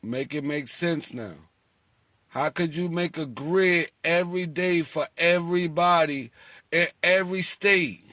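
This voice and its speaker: A man's voice: fairly high pitch, measured pace, no echo, studio quality. A man talking casually to his followers as a lottery group leader, asking rhetorical questions and acting out callers. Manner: animated, rhetorical, acts out callers.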